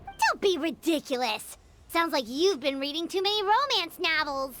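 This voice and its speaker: Tiny squeaky fairy girl. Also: animated, moderate pace, pushed and bright, slight background noise, exaggerated voice. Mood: scornful. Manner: squeaky, speaks of herself in third person. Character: tiny, excitable, indignant fairy companion girl, childlike. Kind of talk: video game voice acting.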